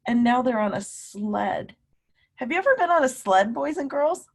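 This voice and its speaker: A woman teacher reading a picture book aloud to young children, animated and emphatic, often putting questions to her listeners. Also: a little high. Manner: animated, emphatic, drawn-out words, coaxing young children along.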